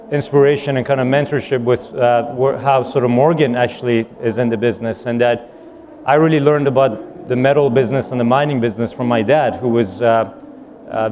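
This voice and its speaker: A man's voice: medium pitch, fairly even intonation, fairly quick, darkish tone. Young male panel guest, from Iran, fluent but full of uh's, faint room hum. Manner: fluent, frequent uh and word repeats. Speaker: panel guest, company founder. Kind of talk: live panel answer.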